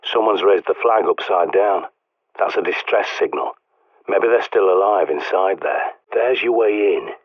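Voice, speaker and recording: Game role: a husky, low-voiced British prepper on the radio, blunt, cynical, profane. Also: male voice, unhurried, no room sound. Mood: gruff. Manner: sardonic, blunt, swears often. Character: gruff male survivalist radio guide, cynical. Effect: radio transmission. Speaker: radio guide character. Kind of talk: video game voice acting. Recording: tinny radio line.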